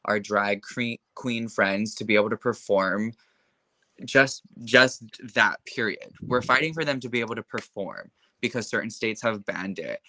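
A man's voice: fairly low pitch, bright, forceful tone, dry recording. A young man being interviewed in casual conversation. He speaks with impassioned conviction and leans heavily on filler words. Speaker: interview guest.

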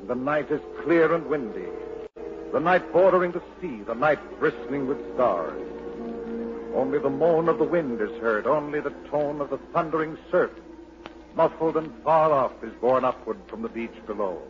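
A man's voice: medium pitch, expressive, moderate pace, long pauses, music in the background. A man reading scripted, dramatic scene-setting narration in the style of 1940s radio. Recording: muffled, noisy 1940s radio recording.

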